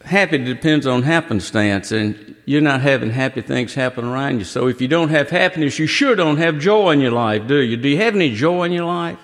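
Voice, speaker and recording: A man's voice: mid-range voice, lively intonation, a little fast, few pauses, a bit bright, in a large hall. A man giving a folksy testimony at a church gathering, telling a story. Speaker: guest speaker, judicial candidate. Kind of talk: testimony speech.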